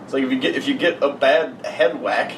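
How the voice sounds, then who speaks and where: A man's voice: medium pitch, fairly quick. A young man co-hosting a podcast, riffing with friends in a goofy, profane, casual way. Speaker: podcast co-host. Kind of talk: casual chat among friends. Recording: roomy, distant mic, faint noise.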